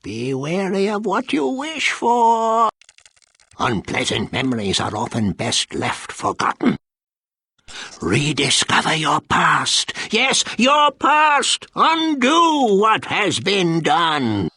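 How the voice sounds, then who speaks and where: Fantasy game mystic, old man: high-set, raspy, slow, theatrical, ominous. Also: huge pitch swings, sharp tone, no echo, exaggerated voice. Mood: ominous, cryptic. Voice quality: gravelly, raspy. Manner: theatrical, drawn-out cryptic delivery. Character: cackling, theatrical old mystic sage with cryptic warnings. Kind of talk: fantasy video game character acting.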